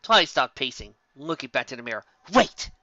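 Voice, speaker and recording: Lively storytelling narrator, bright voice, dry muffled home recording. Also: mid-range voice, fast, dry recording. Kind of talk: fan fiction reading.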